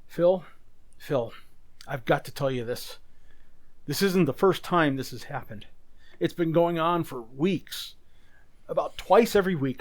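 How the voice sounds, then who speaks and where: A man's voice: on the high side, close to an everyday voice. A man playing an anxious, troubled businessman in an old-time radio drama, speaking hesitantly and with distress. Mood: distressed, fearful. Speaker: amateur community theater actor.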